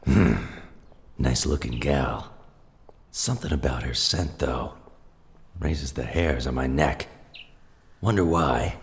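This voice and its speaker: A man playing a gruff, short-tempered mutant tough guy with a growling, slangy, confrontational delivery in an audio drama. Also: very deep voice, slow pace, long pauses, heavily acted. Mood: brooding.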